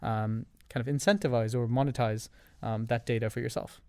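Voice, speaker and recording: A man from Canada, a guest on a podcast, who gives measured answers laid out in ordered points. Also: medium pitch, steady tone, warm tone, smooth, dry recording, clean studio sound. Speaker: guest, revenue operations leader. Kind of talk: interview answers.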